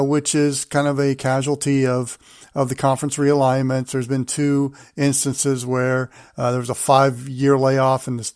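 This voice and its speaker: A middle-aged man hosting a sports podcast in a deliberate, announcer-like way. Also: mid-range voice, clear, resonant tone, no room sound, studio quality. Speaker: podcast host. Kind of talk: hosted sports interview and read segues.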